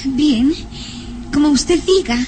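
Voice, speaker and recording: A woman's voice: high voice, takes it slowly, dark tone, slight background noise, rough recording. An actress playing a timid, wonderstruck young woman traveler in a radio drama, with a plaintive manner. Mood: puzzled, plaintive. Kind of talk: radionovela acting.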